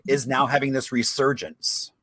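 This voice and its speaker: A man's voice: medium pitch, level tone, moderate pace, fairly bright tone. A man hosting a casual virtual chat, easygoing and affirming, on a video call. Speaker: host.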